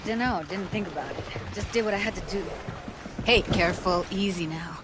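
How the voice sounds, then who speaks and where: A woman's voice: medium pitch, fairly quick, noisy background, lightly acted. A young woman acting a frank, wry character in a video game, speaking in a casual, slangy, conversational way. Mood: shaken, gentle. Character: frank, wry young woman reminiscing about her drowned hometown.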